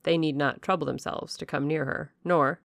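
A woman's voice: low-pitched, fairly even intonation, normal speed, creaky, no room sound, clean studio sound. A woman voicing a blunt, put-upon male footman who reports messages plainly.

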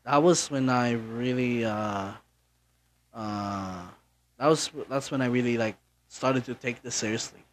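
A man's voice: medium pitch, unhurried, slight background noise. A young man from the Philippines hosting his own podcast in casual solo chat, rambling with many fillers and restarts. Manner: offhand, mildly profane. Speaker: podcast host.

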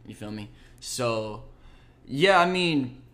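Young male musician, soft-spoken and slangy, relaxed casual chat. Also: medium pitch, slow. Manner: laid-back slangy talk, lots of "like" and "bro". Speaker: musician talking about his EP.

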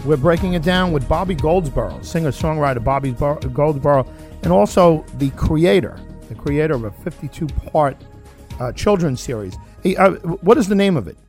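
A man's voice: on the high side, lively intonation, normal speed, a little mellow, soft background music. Brash man in his forties hosting radio. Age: 40s. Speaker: radio talk show host. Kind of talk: radio interview.

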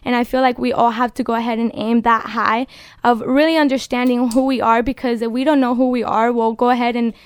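High, smooth-voiced young woman. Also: fairly even intonation, a little fast, no echo. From Dominican Republic. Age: 20s. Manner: earnest and passionate, exhorting listeners. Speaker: guest. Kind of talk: casual faith-based podcast talk. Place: studio.

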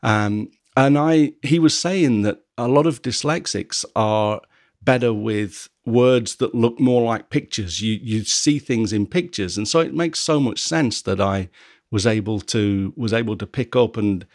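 A man from England, hosting a podcast in casual conversation, who often pauses and restarts as he searches for words. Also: fairly low pitch, no echo, studio quality. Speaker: podcast host.

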